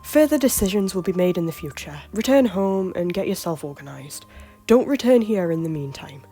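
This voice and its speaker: A woman's voice: on the low side, lively intonation, normal speed, soft background music, close to an everyday voice. A woman voicing a bitter, distrustful young male prince who claims the Scottish crown, speaking with scorn in a fan audio drama. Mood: cold. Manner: scornful, sharp-tongued. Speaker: voice actor.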